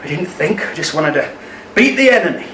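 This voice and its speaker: Man acting a high-pitched, anguished veteran. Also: big pitch swings, a bit bright. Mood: weary, regretful. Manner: halting, anguished, self-reproaching. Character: guilt-ridden ex-serviceman husband, injured Cold War test veteran. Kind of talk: radio play acting. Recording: roomy, distant mic, faint background noise.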